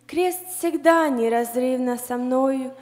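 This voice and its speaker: A young woman reciting a devotional poem slowly and earnestly in a church hall. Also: high-pitched, darkish tone, faint music behind. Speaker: poetry reciter. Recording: long church hall reverb.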